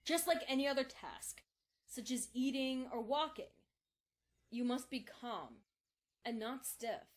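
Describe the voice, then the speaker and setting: A woman playing a calm, exacting female unicorn mentor in a fan audio drama, speaking in a formal, instructive manner. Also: sits high, a little slow.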